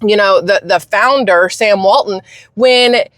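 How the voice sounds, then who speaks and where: A woman from the United States hosting her channel, delivering an emphatic, indignant opinion rant with quick bursts of speech. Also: medium pitch, fairly bright tone, no room sound, studio quality. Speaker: channel host.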